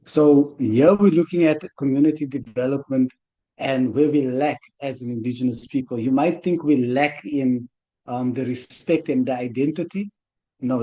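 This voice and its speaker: South African man, mellow dark voice, earnest and conversational, online conference talk, video call mic. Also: mid-range voice, dull audio. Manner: earnest, loose conversational speaking. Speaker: conference organizer. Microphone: computer mic on a video call.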